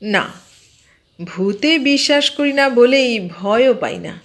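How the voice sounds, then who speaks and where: A woman voicing a mild, stubbornly sceptical man in an audio story, explaining things in a measured, matter-of-fact way. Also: a little high, expressive.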